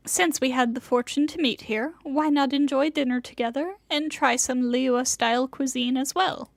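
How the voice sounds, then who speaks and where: A woman's voice: high voice, normal speed, gravelly, no room sound. A woman voicing a refined, proud and motherly immortal inventor in a video game, with formal, lofty phrasing. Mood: gracious, proud.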